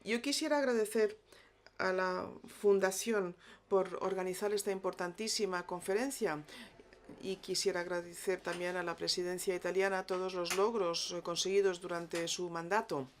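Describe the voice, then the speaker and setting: A woman interpreting a conference speech live, delivering it haltingly, phrase by phrase. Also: on the low side, steady tone, slight background noise.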